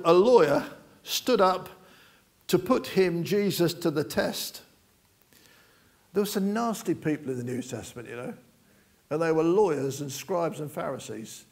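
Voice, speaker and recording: A man's voice: a little high, darkish tone. A man preaching an informal sermon in a chatty, joking way, rambling with many restarts.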